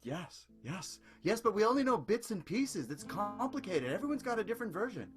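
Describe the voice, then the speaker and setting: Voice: mid-range voice, very animated, a little fast, music in the background, natural voice. An actor playing a nosy, chatty hypnotist who rambles about odd trivia, in an improvised radio play. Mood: rambling.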